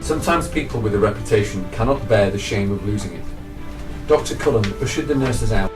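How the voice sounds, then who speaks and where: Lowish male drama narrator, distant mic. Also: animated, a little fast. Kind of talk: scripted radio drama narration. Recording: distant microphone under clear music.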